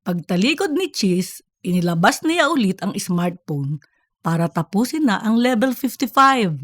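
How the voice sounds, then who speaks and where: A woman's voice: medium pitch, big pitch swings, a little slow. A woman reading scripted narration for a comedic fiction story. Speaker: audiobook narrator.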